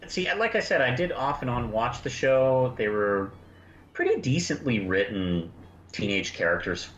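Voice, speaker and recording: A man, probably middle-aged, chatting casually as a podcast co-host reviewing cartoons, in a halting, hesitant way with frequent restarts. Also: medium pitch, sing-song, a bit bright. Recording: roomy, muffled, noisy home recording.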